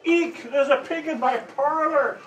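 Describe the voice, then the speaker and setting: A man's voice: very high voice, expressive, moderate pace, a bit bright. A man voicing startled storybook townspeople, squealing and howling in alarm. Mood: shrieking alarm. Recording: roomy, distant mic, audience noise.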